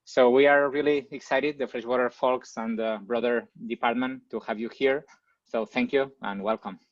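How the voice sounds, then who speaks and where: A man hosting an online seminar, giving a semi-formal introduction in short, halting phrases over a video call. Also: mid-range voice, fairly even intonation, dry recording, lo-fi sound. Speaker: seminar host introducing the speaker.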